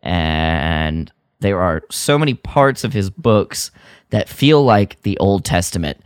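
A man co-hosting a podcast in casual, animated chat, given to comically exaggerated opinions. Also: low-pitched, slow pace, clean tone, no room sound, clean studio sound. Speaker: podcast co-host. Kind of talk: casual chat about a radio drama.

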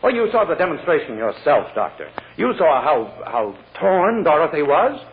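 A man's voice: a little high, sing-song, normal speed. An American actor playing a fussy, indignant male attorney in an old radio drama, stuffy and emphatic. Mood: shocked, indignant. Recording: narrow, tinny old radio recording.

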